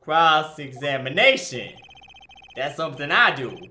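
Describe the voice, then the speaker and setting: Woman gaming host, comedic and sarcastic, lively delivery on the lower side, joking through dialogue. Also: unhurried, a little hiss or hum. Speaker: let's play host voicing the rookie lawyer. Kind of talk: comedic gameplay commentary and dubbing.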